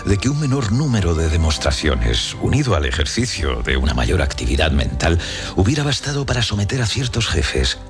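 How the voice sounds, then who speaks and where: An actor playing a proud Roman emperor looking back on his youth, reading his memoir in a measured, literary, contemplative way. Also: sits low. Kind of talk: documentary dramatic reading. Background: music.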